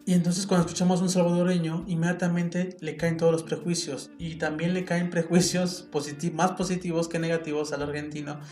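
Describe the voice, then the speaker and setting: A man's voice: a little high, level tone, brisk, hardly any pauses, a little mellow. Earnest Mexican man in a roomy space. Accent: Mexican Spanish. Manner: earnest, opinionated, thinking aloud with restarts. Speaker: podcast host. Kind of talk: reflective solo podcast monologue. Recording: roomy sound with faint guitar music behind.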